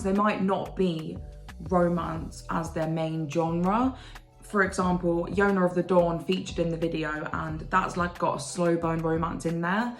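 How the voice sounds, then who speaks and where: A woman vlogger chatting casually to camera in a bubbly, gushing way, her voice a little croaky from the morning. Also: medium pitch. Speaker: book vlogger. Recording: roomy room with faint music.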